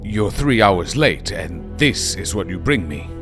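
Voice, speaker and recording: An actor playing a corrupt, contemptuous city administrator who berates and swears. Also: male voice, on the low side, very animated, measured pace. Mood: berating. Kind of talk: audio drama acting. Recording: music playing underneath.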